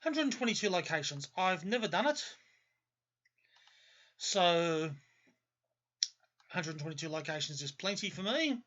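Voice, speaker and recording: Bright-voiced Australian man commentating a game. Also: high-pitched, animated, unhurried, pauses often, highs cut off. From Australia, grew up in Melbourne. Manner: discursive, self-correcting, qualifies his statements. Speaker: host of a gaming video series. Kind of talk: casual gameplay commentary, thinking aloud.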